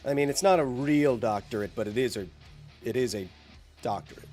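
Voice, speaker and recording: A man's voice: medium pitch, fairly quick, darkish tone, faint music behind. Sniffly, rambling male game streamer. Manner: rambling, jokey, talks to chat. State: congested, recovering from bronchitis. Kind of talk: live game stream chat.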